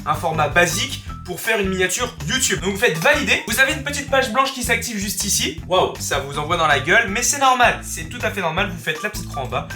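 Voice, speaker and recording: A young man with a cold talks high and loose, cracking crude jokes as he walks viewers through a tutorial. His voice rings around a roomy space with music playing clearly underneath. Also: lively intonation, a little fast, hardly any pauses. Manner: chatty, joking, crude slang. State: has a cold. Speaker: YouTuber teaching software. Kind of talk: casual screen-recorded tutorial. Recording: roomy room with background music.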